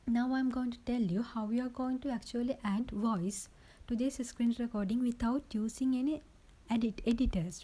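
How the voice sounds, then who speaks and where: A woman's voice: on the high side, warm tone, poor audio. A woman presenting an informal how-to voice-over recorded on a phone's built-in microphone, explaining step by step and often checking in with the listener. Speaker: tutorial presenter.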